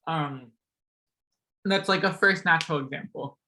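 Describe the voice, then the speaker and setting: Young male seminar speaker, voice a bit sore, bright tone, loose chatty delivery with many fillers. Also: on the high side, unhurried, slight background noise. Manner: casual, many ums and likes, self-correcting. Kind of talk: academic math talk.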